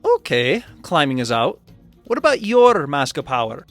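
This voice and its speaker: A wry, grumbling mechanical inventor hero played by a man, delivered with hugely varied intonation. His voice sits fairly high, with prominent music behind the animated fan dub. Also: normal speed.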